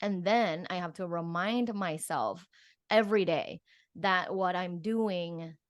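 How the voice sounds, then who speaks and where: A woman's voice: on the low side, slow, no echo. A woman from Thailand speaking as an expert guest in a casual interview, informal and peppering her speech with "like". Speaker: guest, professor and sexologist.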